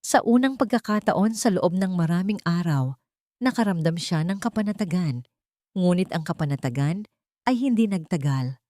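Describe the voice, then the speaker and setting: A woman's voice: fairly low pitch, animated, moderate pace, warm tone, dry recording, clean studio sound. A woman with a Filipino accent reading a dramatic scripted story as narrator.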